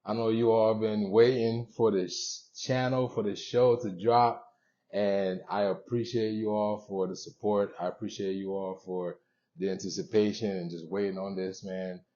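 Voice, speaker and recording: A young man hosting a motivational show, speaking to viewers in an earnest, conversational way with pauses between short phrases, on a dull recording. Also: fairly low pitch, level tone, mellow. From born in Haiti, moved around the US. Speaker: show host.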